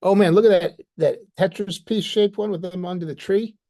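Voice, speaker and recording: A man's voice: high-pitched, steady tone, normal speed, warm tone. A man co-hosting a show, chatting casually and reacting with exclamations and enthusiasm. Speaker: show co-host. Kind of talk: casual chat, reacting to comic art.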